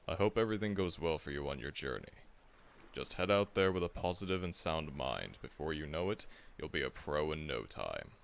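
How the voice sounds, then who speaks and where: Man playing a veteran monster hunter, very deep, gravelly, lively mentor chuckling, audio roleplay. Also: heavily acted. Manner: reassuring, chuckles often, calls listener kid. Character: seasoned male monster hunter, confident friendly mentor.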